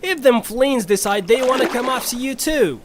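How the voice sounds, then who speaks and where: A man's voice: extremely high pitch, animated, normal speed, very noisy. A man voicing a folksy, bossy ferry captain with a Southern US accent in a cartoonish audio drama. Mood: defensive, instructive. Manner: folksy, drawling turns of phrase.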